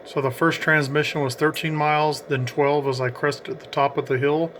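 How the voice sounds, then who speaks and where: A man's voice: fairly high pitch, level tone, normal speed, a bit bright, slight background noise. A man giving casual, explanatory commentary as he narrates a radio range test.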